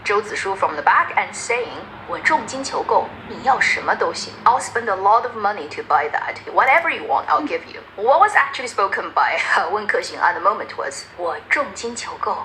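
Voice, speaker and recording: Animated, bright-voiced woman explaining. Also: mid-range voice, a little fast, few pauses, a little hiss or hum, rough recording. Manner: playful, translating and glossing as she goes. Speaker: video essayist explaining lines. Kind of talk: informal explanatory commentary.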